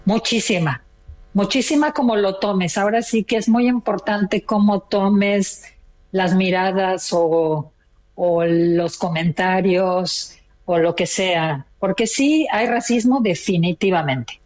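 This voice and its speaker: A woman's voice: medium pitch, takes it slowly, a little mellow. Older Mexican woman, chatty and proud. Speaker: caller. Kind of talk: casual chat.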